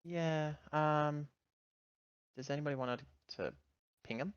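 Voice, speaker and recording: A man's voice: a little high, a little slow, clean tone, no room sound, dull audio. A man playing a tabletop role-playing game, talking casually as a player over a voice call. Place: online voice call.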